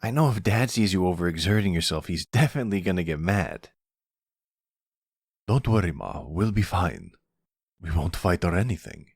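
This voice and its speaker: A man's voice: deep voice, very animated, normal speed, long pauses, breathy edge, no room sound, studio quality, heavily acted. Man playing an earnest, cheeky young son. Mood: caring. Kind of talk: ASMR roleplay acting.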